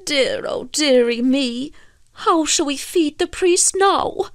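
Woman voicing a blustering fisherman, pitched high. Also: slow pace, no room sound, studio quality. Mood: dismayed. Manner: exclamatory, folksy, emphatic scolding. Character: hot-tempered Irish peasant fisherman, grown man, blustering and wheedling. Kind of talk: storybook character voice.

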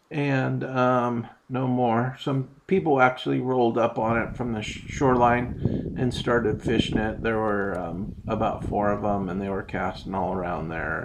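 A man on a small boat on a lake, calmly walking through his day in a relaxed, rambling way with plenty of ums. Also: mid-range voice, creaky. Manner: relaxed, rambling, explains step by step with many ums. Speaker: fishing vlogger. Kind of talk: casual narrated fishing recap. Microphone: boat-mounted action camera.

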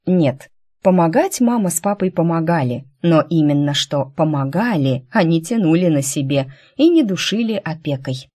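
A woman reading fiction as an audiobook narrator, in a conversational, expressive storytelling manner. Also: low-pitched, moderate pace. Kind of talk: scripted first-person fiction narration.

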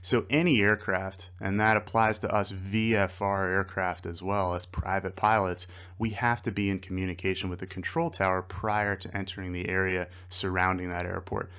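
Low-voiced man explaining like an instructor. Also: level tone, normal speed, hardly any pauses, fairly bright tone, smooth, muffled recording. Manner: conversational, explanatory. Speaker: flight instructor. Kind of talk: explanatory slide-lesson narration.

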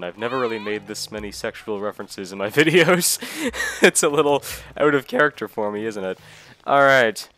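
A man's voice: mid-range voice, a bit bright. Nasal man with a cold, rambling. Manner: rambling, casual, self-deprecating. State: recovering from a cold. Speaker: let's play host. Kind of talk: gameplay commentary.

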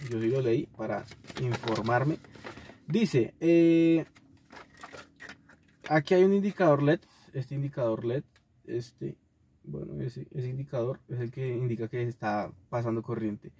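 A young Colombian man giving a casual, halting vlog review in an informal way, recorded inside a car. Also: medium pitch, dark tone, breathy edge. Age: young adult. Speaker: video host reviewing a gadget. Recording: noisy car-cabin recording with clicks.

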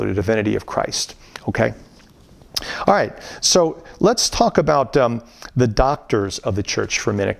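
A man's voice: mid-range voice, creaky. A middle-aged man giving a recorded theology course lecture in a room at home, teaching earnestly and emphatically, often restarting his sentences. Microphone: camera framed at chest, facing viewer.

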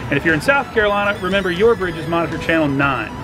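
A man's voice: on the high side, animated, fast. A middle-aged man presenting an instructional explainer to camera, talking through things step by step. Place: outdoors on a docked boat at a marina. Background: background music.

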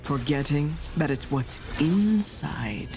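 A brooding female storyteller in a fantasy video game. She narrates theatrically and slowly, with grave drama and dry, playful asides. Music plays clearly behind her. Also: low voice, full character voice. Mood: brooding, dramatic. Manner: slow, dramatic, hushed in places, with deadpan asides.

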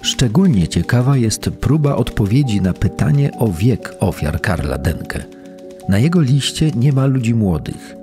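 An actor playing a sober forensic investigator, formally reading out a report for a documentary. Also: male voice, mid-range voice, sing-song, measured pace, over music. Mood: sober, clinical. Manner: formal, clinical.

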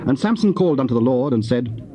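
A man narrating scripture as part of an audio drama, solemn, measured and formal. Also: mid-range voice, lively intonation. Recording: dull, faintly noisy cassette recording.

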